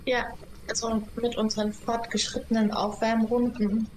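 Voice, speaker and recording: A woman's voice: on the high side, flat delivery, moderate pace, a little hiss or hum, poor audio. A woman chatting casually while playing a game with a friend, mostly answering with short, easy replies. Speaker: co-player. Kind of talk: casual gaming chat.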